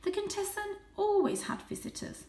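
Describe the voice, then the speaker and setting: A woman's voice: very high-pitched, sing-song, moderate pace, creaky, a little mellow, recorded from a distance, a little hiss or hum, voice pushed up, full character voice. A woman voicing a nostalgic, genteel aunt cat in a storybook, wistfully recalling the past. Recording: echoey room.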